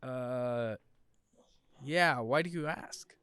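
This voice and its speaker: A man's voice: medium pitch, very slow, fairly bright tone, smooth, no room sound. An actor playing an earnest, shy young man in an audio drama, with a hesitant way of talking. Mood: shy, awkward. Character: John, devoted young husband, also shy teenage student.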